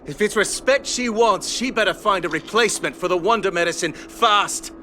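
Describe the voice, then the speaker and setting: A woman performs a distrustful video game patient with a forceful, sharp edge. The delivery is sarcastic and accusing, over a light bed of ambient noise. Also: mid-range voice, moderate pace, close to an everyday voice. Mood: threatening. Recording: clear ambient noise behind.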